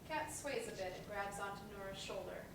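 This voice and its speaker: A woman's voice: medium pitch, fairly quick. A woman reading stage directions as the narrator of a dramatic play reading, in a scripted narration style. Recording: very echoey, distant mic, noisy background.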